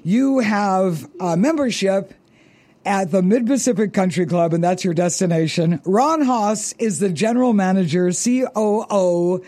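A man hosting a radio talk show, bubbly and quick to laugh, stretching words for emphasis. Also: sits high, dark tone, dry recording. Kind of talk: upbeat radio interview.

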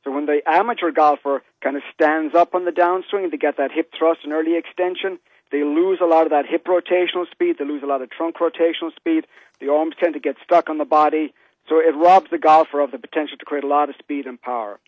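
A man's voice: on the high side, steady tone, a little fast, no room sound. A man with an Irish accent, an interview guest explaining things conversationally over a muffled telephone line. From Ireland. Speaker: interview guest, golf fitness entrepreneur. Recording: dull telephone line on radio.

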